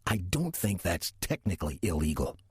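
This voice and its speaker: Casting: male actor for sardonic demon posing as a man in his sixties, audio drama. Deep, rough-edged, deadpan, closely recorded. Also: dry recording, full character voice. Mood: sly, patient. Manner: dry, deadpan, unhurried sarcasm. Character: wry demon posing as a 63-year-old church usher. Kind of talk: audio drama acting with first-person narration.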